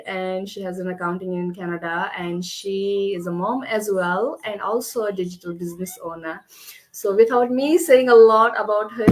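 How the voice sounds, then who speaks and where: A woman's voice: mid-range voice, a little hiss or hum, low-quality recording. Chatty woman hosting a live interview. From Kashmir, India. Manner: chatty, with fillers like "you know". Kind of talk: casual live-stream interview. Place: home, with her small son nearby.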